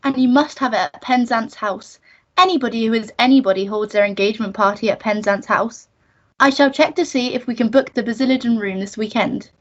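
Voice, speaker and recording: A prim upper-class English schoolgirl voiced by a woman in a comic radio melodrama. She speaks in a high register, fussy and gushing, ready to scold or correct. The recording is somewhat dull. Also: brisk, close to an everyday voice. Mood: gushing, excited.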